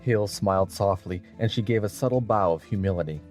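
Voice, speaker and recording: A man's voice: fairly low pitch, a little fast, a little mellow, faint music behind. A man narrating an audiobook story in a scripted, dramatic style.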